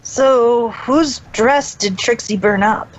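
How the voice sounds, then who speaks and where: A man's voice: extremely high pitch, takes it slowly, muffled recording, voice pushed up. A man voicing a sheepish young male dragon, hesitant and earnest, in a fan fiction reading. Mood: sheepish, curious.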